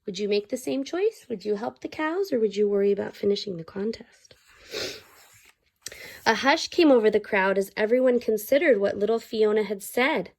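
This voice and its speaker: A woman reading a children's story aloud expressively, like a teacher, pausing to explain and ask the young listeners questions. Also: mid-range voice, animated.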